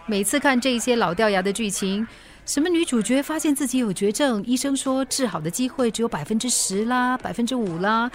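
Radio drama role: female actor as stubborn, troubled Singaporean woman, high-pitched voice. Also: animated, brisk, natural voice. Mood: wistful, tipsy. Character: stubborn tipsy woman hiding her serious illness.

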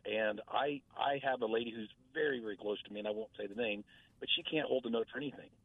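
A man's voice: medium pitch, fast, no echo. A man calling in to a radio show, chatting in a friendly way with a big voice. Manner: friendly, chatty, laughs easily. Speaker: caller. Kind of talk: phone-in chat. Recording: tinny phone line.

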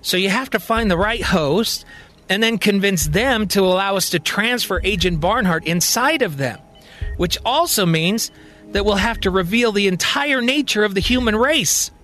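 A man's voice: sits high, lively intonation, moderate pace, pushed and bright, faint music behind, pitched above the performer's own voice. A man voicing a hesitant, puzzled heroine in a science-fiction audio story. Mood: cautious, explaining.

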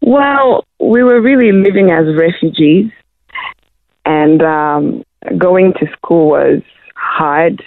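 Woman from Zimbabwe, guest singer, halting speech full of ums, phone-quality line, dry sound. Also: lowish voice, no room sound. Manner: hesitant, many ums. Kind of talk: casual interview.

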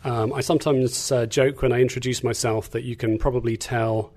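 English man, measured middle-aged teacher. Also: mid-range voice, fairly even intonation, a little mellow. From England. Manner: measured, articulate, with frequent "uh" and "um". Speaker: guest, Bible teacher. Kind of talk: casual interview chat.

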